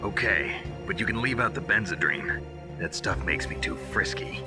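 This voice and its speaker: Game role: stoic, gruff male soldier, deep and deadpan, gravel in the voice. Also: normal speed, over loud music. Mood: weary. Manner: terse, deadpan. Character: gruff, stoic captured soldier, world-weary man. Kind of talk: dramatic video game acting over radio calls.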